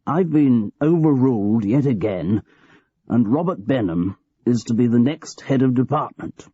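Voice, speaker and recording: Man doing an older male boss, petulant and self-pitying, voice pitched a bit higher and pushier than the narration; husky, dull lo-fi sound. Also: lively intonation, a little slow, dark tone, no echo. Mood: testy. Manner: testy, querulous. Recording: dull lo-fi recording.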